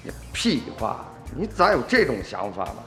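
A man playing a brash, irritable crook with a gruff, scoffing, colloquial way of talking in a radio drama. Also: medium pitch, wildly swooping pitch, normal speed, fairly bright tone, long reverb tail, faint music behind. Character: brash, irritable adult male crook, second in a small gang.

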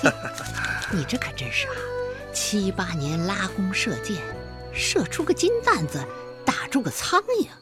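An actress playing a middle-aged farmer's wife in a radio drama, sharp-tongued and teasing, brisk and folksy. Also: female voice, mid-range voice, wildly swooping pitch, over music. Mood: teasing, dismissive.

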